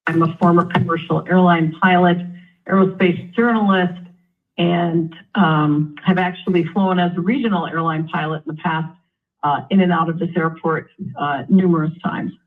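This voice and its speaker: A woman giving measured expert explanations in a live news interview, heard over a narrow phone-like line. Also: fairly low pitch, steady tone. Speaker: aviation expert, former airline pilot.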